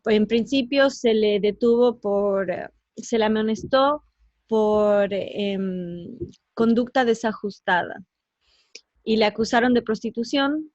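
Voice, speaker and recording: A woman with a slightly high voice speaks as an interview guest, explaining her work. She comes from Ecuador and grew up in the United States. The sound is very dry but somewhat muffled and lo-fi. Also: dry recording. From born in Ecuador, raised in the United States. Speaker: interview guest, teacher and columnist.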